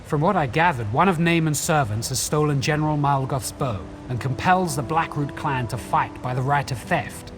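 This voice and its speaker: Fantasy game quest-giver, male actor: scholarly and wary, fairly high voice, formal measured explanations, light music underneath. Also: close to an everyday voice. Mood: measured, explanatory. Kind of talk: video game dialogue, explanatory. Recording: faint music behind.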